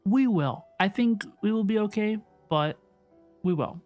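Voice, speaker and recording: A woman's voice: mid-range voice, lively intonation, measured pace, muffled recording. A woman giving casual, chatty playthrough commentary as a livestreamer, often repeating phrases for emphasis. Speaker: video game livestreamer. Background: soft game music.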